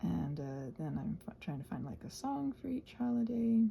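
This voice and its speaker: A woman rambling casually as a video host, thinking aloud and trailing off, her voice husky and breathy and often sinking toward a whisper. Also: fairly low pitch, very animated, moderate pace, dull audio, a little hiss or hum. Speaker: video host and ASMR creator. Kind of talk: casual rambling craft chat.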